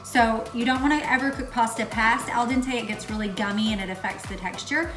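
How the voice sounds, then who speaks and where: A woman talks casually through a recipe, explaining each step as she works. Her voice sits a little high and rings in the room of a home kitchen. Music plays clearly behind her. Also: fairly quick. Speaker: host of a cooking show. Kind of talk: casual instructional cooking demonstration. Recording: echoey kitchen, far from mic, music bed.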